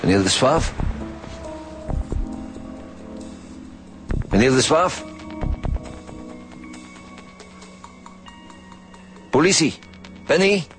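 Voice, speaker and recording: A man's voice: mid-range voice, sing-song, moderate pace, a bit bright, faint music behind. A man with a Flemish accent playing a direct, businesslike police detective in a radio drama. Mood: calling out. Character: brisk, businesslike male police detective in charge.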